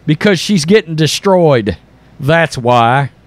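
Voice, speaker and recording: Higher-pitched man giving blunt political commentary. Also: expressive, slow, no echo. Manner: blunt, sarcastic, exasperated. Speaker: host of an online news commentary channel. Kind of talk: opinionated political commentary mixed with reading news aloud.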